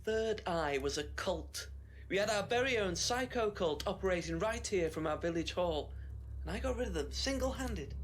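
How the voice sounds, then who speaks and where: An actor playing an agitated, smug conspiracy theorist in a mystery video game, emphatic and know-it-all. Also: male voice, sits high, expressive, moderate pace, slight background noise. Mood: smug, heated. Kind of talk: FMV mystery game acting.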